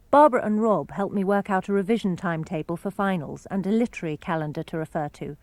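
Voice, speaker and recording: A woman narrating and reading diary entries aloud for a radio broadcast, in a clear, composed manner. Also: mid-range voice, a little mellow, no echo. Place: radio studio.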